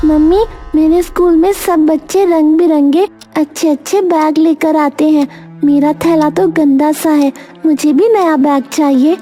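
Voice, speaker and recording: Very high-pitched innocent little girl. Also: steady tone, unhurried, dark tone, soft background music. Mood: wistful pleading. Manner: childlike, naive. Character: innocent, eager little schoolgirl daughter.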